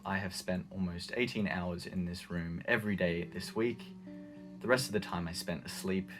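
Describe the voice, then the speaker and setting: An Australian man in his late twenties giving a personal, reflective account. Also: low voice. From Brisbane, Australia. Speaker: interviewee. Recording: music behind the voice. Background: plucked guitar music.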